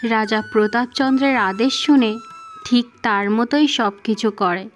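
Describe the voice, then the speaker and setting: High-voiced woman narrating a fairy tale. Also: normal speed. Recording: music under the voice.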